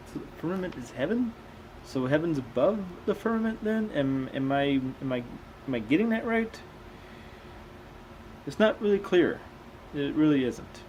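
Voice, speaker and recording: Guy reading out loud n commenting, skeptical, casual, bit of aircon noise behind him. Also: mid-range voice, poor audio. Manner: skeptical, questioning. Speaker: host reading aloud and commenting. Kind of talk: casual commentary between read-aloud passages.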